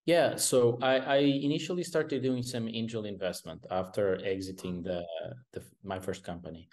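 A man speaks in a fairly low voice over a video call from a room at home. He talks in a hesitant way, full of fillers and false starts. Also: fairly even intonation, normal speed, darkish tone. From Portugal. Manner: hesitant, many restarts and fillers. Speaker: venture capital guest. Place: home room with painting behind.

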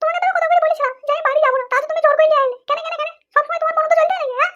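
A woman's voice: extremely high pitch, racing, a bit bright, muffled recording. A woman voicing an aggrieved, nagging young cartoon wife who whines and argues. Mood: angry nagging. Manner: whining, argumentative. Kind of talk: comic cartoon dialogue.

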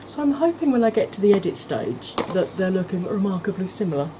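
A woman's voice: medium pitch, sing-song, quick pace. Chatty woman with a background hiss. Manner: chatty, talks through each step, drops to soft near-whispers. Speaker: makeup vlogger. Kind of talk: chatty makeup tutorial narration. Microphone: camera close to the face.

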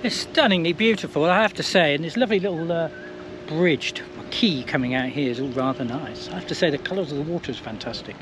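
A man's voice: fairly high pitch, fast talker, poor audio. A man, chatting enthusiastically on camera outdoors as a travel vlogger. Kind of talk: casual travel vlog chat. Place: outdoors on a tropical island street. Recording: loud outdoor background noise.

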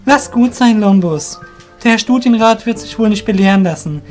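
Man voicing an earnest, higher-pitched young apprentice. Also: moderate pace, darkish tone, faint music behind, natural voice. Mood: calming. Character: young apprentice Seki, earnest, curious, respectful but cheeky. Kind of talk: radio play acting.

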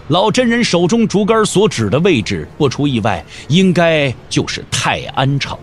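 Male narrator for martial-arts audio drama: very animated intonation, somewhat high pitch, scripted and dramatic.

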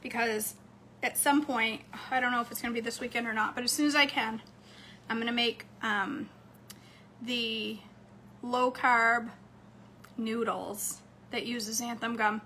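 A woman, chatting casually to her viewers from a home kitchen, slightly hoarse. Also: on the high side, fairly even intonation, a little slow, pauses often, bright, forceful tone, a little hiss or hum. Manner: chatty, upbeat, talks straight to camera. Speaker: cooking vlogger. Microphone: phone camera close up on a counter.

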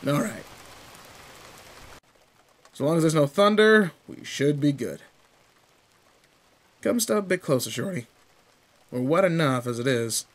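A man's voice: a little high, measured pace, long pauses. A man playing a tall, protective, secretly sweet teenage delinquent in an intimate roleplay audio drama, talking in casual, teasing slang. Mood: reassuring. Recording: steady rain ambience behind voice. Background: rain in a forest.

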